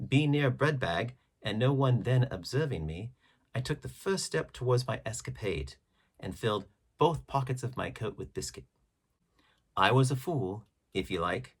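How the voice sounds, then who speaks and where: A man reading an adventure story aloud as scripted narration, with measured, dramatic phrasing. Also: on the low side, breathy edge. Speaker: audiobook narrator.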